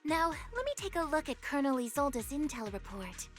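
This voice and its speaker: High-voiced eager young soldier girl. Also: sing-song, normal speed, faint music behind. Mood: eager, crisp. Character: eager young female soldier, forthright and earnest. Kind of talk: dramatic game dialogue.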